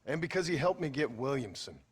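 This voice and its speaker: An actor playing a weary, bitter former outlaw cowboy in a video game, terse and sardonic with a raspy voice. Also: male voice, medium pitch, very animated, a little fast. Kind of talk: video game cutscene acting.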